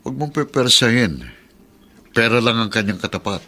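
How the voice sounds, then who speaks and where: A man's voice: medium pitch, lively intonation, moderate pace, pushed and bright. A man acting a shrewd, cynical older uncle giving cautions in a radio drama. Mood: cautioning.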